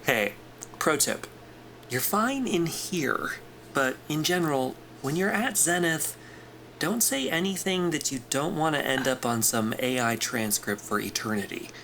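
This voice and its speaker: Easygoing interviewer, lively intonation. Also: male voice, a little high, creaky, slight background noise, exaggerated voice. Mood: dry, candid. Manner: informal, reassuring, tactful, measured. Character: smooth, easygoing corporate interviewer at aerospace company. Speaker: actor in audio drama. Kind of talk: naturalistic sci-fi audio drama acting.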